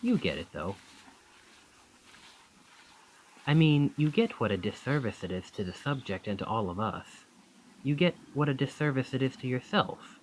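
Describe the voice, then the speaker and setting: Charming, lively young male student, acted by a man. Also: mid-range voice, a little fast, a little hiss or hum, lightly acted, low-quality recording. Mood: earnest.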